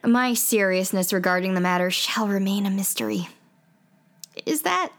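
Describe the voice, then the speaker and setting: A woman's voice: medium pitch, animated, normal speed, natural voice. A woman playing a wry, chatty young radio broadcaster in an audio drama. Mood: wry, teasing. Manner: wry, playful, wordy.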